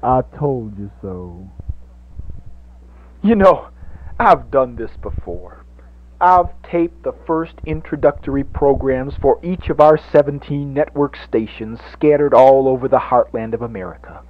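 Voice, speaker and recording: A man's voice: medium pitch, measured pace, dry recording, natural voice. A man playing a fervent, greedy radio evangelist, preaching grandly and pompously in a parody sermon. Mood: grandiose, self-satisfied. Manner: exhortatory, grandiose, pompous, builds from hushed coaxing to shouting. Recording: tinny phone-like 1980s radio recording.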